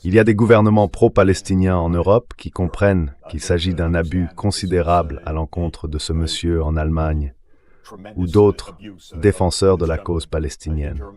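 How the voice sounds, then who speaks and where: Male expert for translated voice-over, deep pitch, reasoned and argumentative. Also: fairly even intonation, normal speed, darkish tone. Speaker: expert panelist. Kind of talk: translated voice-over of a panel discussion.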